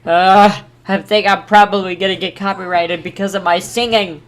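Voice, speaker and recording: A woman giving a casual live reaction, excitable and exclaiming, sometimes reading haltingly. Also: medium pitch. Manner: excitable, exclaiming, reads on-screen text aloud haltingly. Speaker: streamer reacting to a video.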